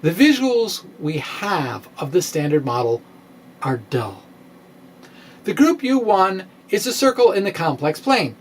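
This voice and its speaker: Slow-paced, wry middle-aged man reading theatrically. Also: high voice, very animated, slight background noise. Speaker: science blogger and presenter. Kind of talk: dramatic reading of a science blog. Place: small indoor room with bookshelves. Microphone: microphone on a stand, close in front.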